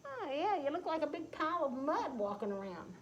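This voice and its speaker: A woman voicing a fussy female farm cat who speaks slowly and judgingly in a storybook reading. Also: sits high, very animated, muffled recording, slight background noise, pitched above the performer's own voice. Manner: prissy, slow and judging.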